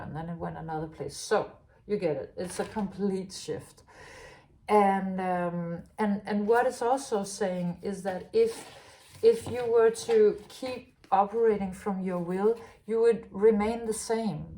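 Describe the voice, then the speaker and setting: A woman from Denmark talks her way through a spiritual tarot reading. Her voice sits at a middle pitch, and she muses out loud, restarting her phrases and stumbling through fillers as she goes. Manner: halting, many restarts and fillers, musing. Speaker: tarot reader.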